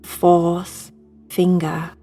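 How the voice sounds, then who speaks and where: A woman guiding a sleep meditation in a hushed, soothing, breathy voice that often nears a whisper. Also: lowish voice, monotone, extremely slow, faint music behind. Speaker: meditation guide.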